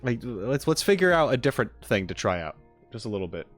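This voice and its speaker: A man's voice: mid-range voice, big pitch swings, fast talker, soft background music. Casual, rambling male streamer. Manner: rambling, informal, frequent hedging and "like". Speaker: streamer reacting to game character trailers. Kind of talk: casual live-stream chat and critique.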